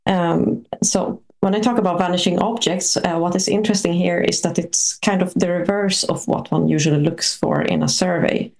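Hesitant non-native woman with gravelly voice. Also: lowish voice, fairly even intonation, normal speed, few pauses, a little mellow. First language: not English. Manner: hesitant, many uhs, curious. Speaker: guest astrophysicist. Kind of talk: interview answers.

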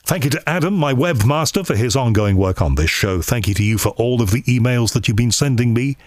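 Man, podcast host, mid pitch, dry close mic, chatty and keen. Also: animated, fairly quick, no room sound. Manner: chatty, enthusiastic, many ums. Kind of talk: scripted podcast introduction and interview. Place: cold room at home.

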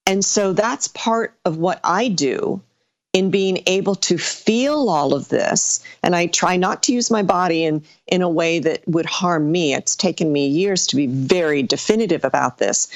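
A middle-aged woman, a psychic guest in an interview, recounting her experiences in an earnest, deliberate way. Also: lowish voice, animated, dry recording. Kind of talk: conversational storytelling interview.

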